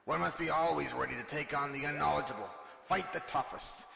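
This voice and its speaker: Echoey hall, gravelly voice, man playing pompous flirtatious agent, halting delivery, amateur radio drama. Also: on the high side, sharp tone, heavily acted. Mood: self-important. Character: boastful, flirtatious male secret agent, a vain bumbler. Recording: echoey hall, dull sound, music behind.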